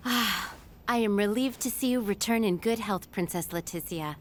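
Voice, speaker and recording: An actress playing a poised, courteous female director of a magic institute in a video game, measured and formal. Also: medium pitch, a little hiss or hum, close to an everyday voice.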